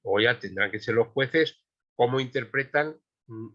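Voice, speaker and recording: A man's voice: medium pitch, measured pace, fairly bright tone, dull audio. A man making an emphatic argument as a guest in an online panel, with many fillers.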